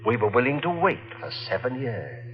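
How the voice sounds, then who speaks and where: A man's voice: mid-range voice, big pitch swings, moderate pace, faint music behind, natural voice. An actor playing a cold, scheming husband who narrates his own crime, speaking in a hard, curt, sardonic way in a 1950s radio drama. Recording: dull vintage 1950s radio recording.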